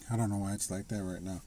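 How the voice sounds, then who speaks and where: A man talking casually to the camera in a slightly raspy voice, rambling and restarting his sentences. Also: fairly low pitch, mellow, a little hiss or hum. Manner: rambling, halting, with frequent restarts and laughs. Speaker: vlogger. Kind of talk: casual personal monologue.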